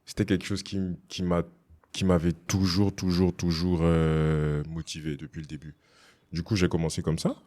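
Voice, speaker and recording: A young man from Togo, a rapper, chatting casually in an interview, relaxed and slangy, often adding "you see". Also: low-pitched, normal speed. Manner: relaxed, slangy, drops English phrases, many "tu vois". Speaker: rapper guest.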